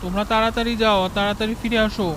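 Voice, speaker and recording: A woman's voice: on the high side, little pitch movement, very fast, a little hiss or hum, natural voice. Careworn village father, voiced by a woman. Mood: weary. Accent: Bangladeshi Bengali. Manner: weary, sighing, careworn. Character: poor, worried village father and husband.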